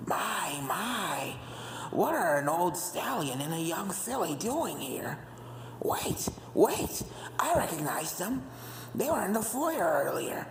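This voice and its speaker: A narrator voicing taunting haunted portrait ghosts in a slow, breathy, menacing whisper for a story reading, with music behind. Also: mid-range voice, roomy sound, long reverb tail, a little hiss or hum, poor audio.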